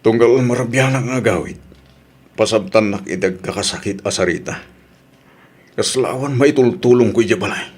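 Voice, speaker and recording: An actor with a Filipino accent playing a remorseful, aggrieved husband in a radio drama, pleading and emotive. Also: male voice, lowish voice, moderate pace, a little mellow, a little hiss or hum, lightly acted. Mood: dejected, aggrieved.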